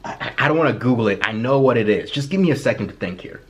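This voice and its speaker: Young Kenyan-accented man, early twenties, vlog host; lively, swooping delivery with self-deprecating jokes. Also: mid-range voice, very fast, lo-fi sound. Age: early 20s. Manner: chatty, animated, self-deprecating humor. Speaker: vlogger. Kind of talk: casual personal storytelling to camera.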